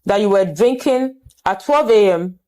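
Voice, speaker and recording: A woman's voice: mid-range voice, lively intonation, moderate pace, warm tone, low-quality recording. A woman with a Ghanaian accent hosting an interview, earnestly probing and restating her guest's answers. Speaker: interviewer and host. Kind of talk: interview with advocacy appeals.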